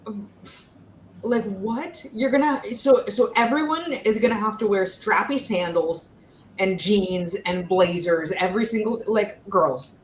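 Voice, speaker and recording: A woman's voice: mid-range voice, unhurried. A woman co-hosting a casual book discussion, speaking in slangy, incredulous bursts with stop-and-start emphasis. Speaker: co-host of a book readalong live show. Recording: roomy echo, faint background noise.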